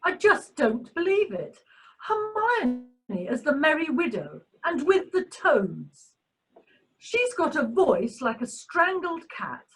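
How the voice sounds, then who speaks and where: Casting: actress as arch society snob for radio comedy, high voice swooping widely, catty and dramatic. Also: female voice, sing-song, slow, soft background music, full character voice, rough recording. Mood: indignant, scornful. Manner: arch, exclamatory. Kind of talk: amateur radio play acting.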